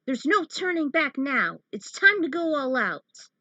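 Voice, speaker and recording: A woman's voice: sits high, very animated, measured pace, bright, forceful tone, lo-fi sound, voice pushed up, exaggerated voice. A woman voicing a defiant, vengeful martial arts hero from a fan fiction story, with dramatic emphasis.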